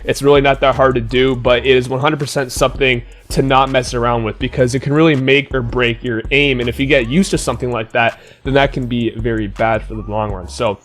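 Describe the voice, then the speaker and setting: A man who is slightly hoarse gives a casual gaming tutorial, speaking straight to his viewers and often saying "like". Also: mid-range voice, fairly even intonation, brisk, hardly any pauses, a bit bright. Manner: casual, talks straight to viewers, many "like" fillers. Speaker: gaming YouTuber.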